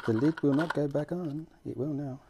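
A middle-aged man with a British accent hosting an informal homemade how-to vlog, talking in a halting, self-deprecating way as he works. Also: fairly high pitch, very noisy. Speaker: fishing vlog host. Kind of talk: casual how-to demonstration. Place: small room at home, indoors.

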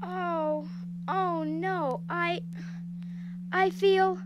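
A woman's voice: extremely high pitch, fairly even intonation, extremely slow, very noisy, exaggerated voice. A woman playing a frightened but stubborn young girl in an audio drama, earnest and pleading. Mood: dazed.

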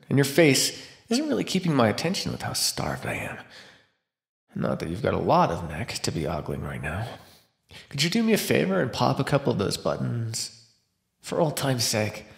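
A man voicing a starving captive vampire in an immersive roleplay monologue, sardonic and flirtatious with a desperate edge. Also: medium pitch. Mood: flirtatious, taunting. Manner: sardonic, teasing, breathy pauses and sighs. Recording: roomy, echoing sound.

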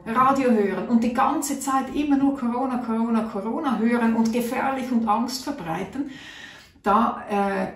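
A woman's voice: a little high, recorded from a distance. A middle-aged woman, telling a personal story to camera in an earnest, chatty way, seated at a table in a small room. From Switzerland. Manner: chatty, earnest, acts out her own thoughts. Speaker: natural health vlogger. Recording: roomy sound from off-mic.

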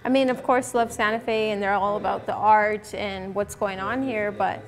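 A woman's voice: medium pitch, fairly even intonation, moderate pace. A young woman talking in a tentative, conversational way in a casual documentary interview. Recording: faint music behind the voice.

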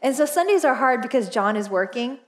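Woman pastor, 40s, high bright register, animated storyteller with many fillers, headset mic in a church auditorium. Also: brisk. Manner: chatty and animated, laughs often, lots of fillers. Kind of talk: casual storytelling sermon. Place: church stage, large indoor auditorium.

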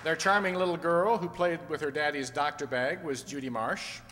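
A man's voice: fairly high pitch, normal speed, over a noisy crowd. Older male announcer in noisy hall. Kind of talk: scripted cast credits in radio announcer manner. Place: on stage in a community hall. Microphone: stand microphone. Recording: echoey hall, loud audience and noise.

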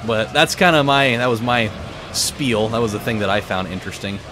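A man hosting a gaming video, telling stories in a casual, animated way with swearing. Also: lowish voice, a little fast. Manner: animated storytelling with profanity. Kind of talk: casual chat over a racing game. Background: loud game music and car engines.

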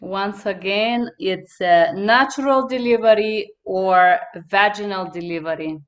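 Young woman, Bulgarian native speaker, unhurried instructor reading terms on a headset call. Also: mid-range voice, highs cut off. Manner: slow, repeats each term twice. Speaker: interpreting instructor. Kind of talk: terminology drill on a video call. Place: small room indoors.

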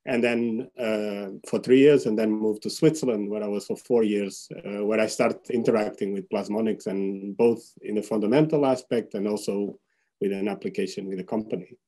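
A man's voice: fairly low pitch, fairly even intonation, moderate pace, dark tone. A man in his thirties with a Portuguese accent, a professor speaking off the cuff with hesitant repeated words. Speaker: professor, seminar guest. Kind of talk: spontaneous account of career. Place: indoors on a video call with virtual background.

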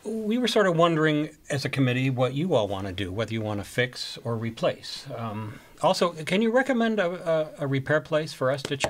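An actor playing an unsure church committee member in a training film, hesitant and casual. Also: male voice, on the high side, animated, a little fast, few pauses, lightly acted. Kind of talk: scripted training film dialogue.

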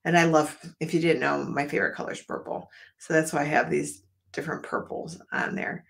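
A woman's voice: sits low, level tone, brisk, gravelly. Chatty woman hosting an art live stream. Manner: chatty and rambling, talks straight to viewers. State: losing her voice. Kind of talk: casual live-stream chat. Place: home art studio, indoors.

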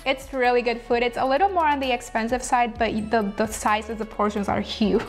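Woman, young adult, higher pitch, agreeable casual chat, lapel mic, faint background music. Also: fairly quick. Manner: brief, agreeable, laughs often. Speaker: co-host.

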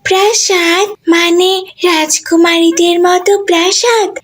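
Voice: very high-pitched, fairly even intonation, slow, fairly bright tone, heavily acted. A performer voicing a sweet, curious little girl in a cartoon, pleading and inquisitive.

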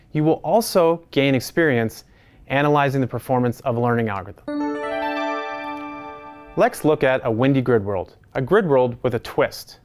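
A man in his thirties explains course material in a steady, measured way, reading from a script. His pitch sits in the middle range. He speaks standing in a home study with bookshelves. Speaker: course instructor. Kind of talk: scripted lecture.

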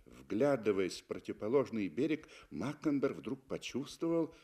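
A man reading literary prose as a narrator in measured, dramatic 1960s Soviet radio style. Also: on the low side, very animated, mellow, slightly rough.

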